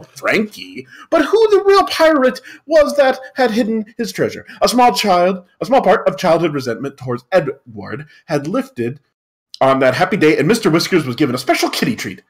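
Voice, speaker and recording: A man's voice: fairly high pitch, fairly bright tone. A man reading a story aloud for laughs on a live podcast. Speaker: podcast reader.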